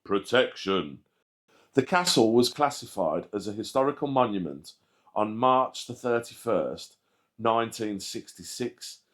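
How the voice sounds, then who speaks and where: A man reading a scripted history narration aloud in a measured, factual manner. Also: fairly low pitch, lively intonation, a bit bright. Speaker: channel host narrating a history video.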